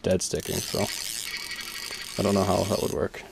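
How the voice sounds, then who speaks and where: A man's voice: deep voice, normal speed, creaky, noisy background. A man ice fishing with friends at night, chatting casually and laughing easily as he films on an action camera. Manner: relaxed, laughs easily, banter. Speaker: ice fisherman filming the trip. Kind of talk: casual chat while fishing. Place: ice fishing shelter on a frozen lake at night.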